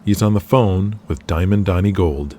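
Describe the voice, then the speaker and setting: A man narrating an audio drama in a steady, matter-of-fact way. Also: low-pitched, normal speed, slight background noise. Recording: music bed under the voice.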